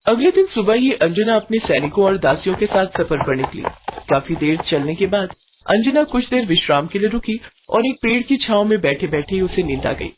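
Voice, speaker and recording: A woman telling a storybook fairy tale in scripted narration, with an even, measured delivery. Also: mid-range voice, phone-quality sound, slight background noise.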